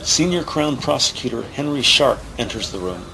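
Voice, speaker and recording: A man's voice: medium pitch, expressive, normal speed, vocal fry, pushed and bright, a little hiss or hum, rough recording. A man narrating scenes of an audio drama from a script in a formal, descriptive way.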